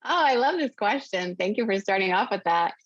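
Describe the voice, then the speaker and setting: A woman's voice: mid-range voice, expressive, brisk, no room sound, highs cut off. A woman in her forties, born in the US and raised in Venezuela, speaking as an interview guest in an articulate, storytelling way. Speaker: guest, podcast host. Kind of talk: interview conversation.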